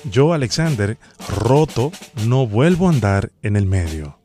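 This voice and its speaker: A man puts on a bureaucratic immigration official. He is formal and officious, sternly polite as he runs a questionnaire on a prank call. His pitch is mid-range, and faint music plays behind him. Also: very animated, measured pace, dark tone. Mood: solemn. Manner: formal, officious, repeats the name.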